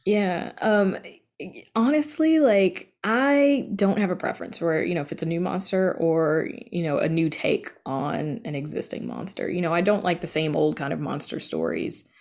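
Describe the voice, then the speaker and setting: A woman's voice: on the low side, lively intonation, moderate pace, a little mellow. A woman talking casually as an interview guest, conversational and informal, acting out bits of imagined dialogue. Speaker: podcast creator and horror writer being interviewed.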